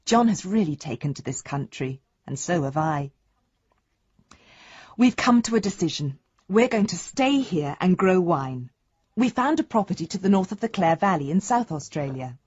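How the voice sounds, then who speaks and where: An actress playing an affectionate, hopeful daughter, husky-voiced and earnest, reading a letter to her father in a radio drama. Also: on the low side, sing-song, normal speed, a little mellow, no echo. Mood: fond, hopeful. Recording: dry, slightly dull studio sound.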